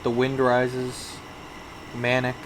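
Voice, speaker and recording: A man with a mid-range voice talks through cast lists in relaxed podcast chat. He hesitates now and then. A steady hum runs clearly underneath. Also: mid-range voice, takes it slowly. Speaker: podcast co-host. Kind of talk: casual movie review chat.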